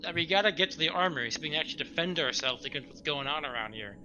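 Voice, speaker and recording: Dulled recording, male tabletop player, very tense bright tone, casual delivery, faint music. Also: a little high, expressive, very fast, highs cut off.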